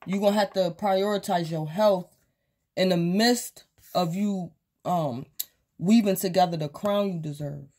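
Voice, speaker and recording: A woman's voice: on the low side, unhurried, darkish tone. A tired woman who is losing her voice, giving a rambling, casual tarot reading at home with lots of fillers. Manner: rambling, loose, with many fillers and repeats. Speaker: tarot reader. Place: small indoor room at home, table of cards and crystals.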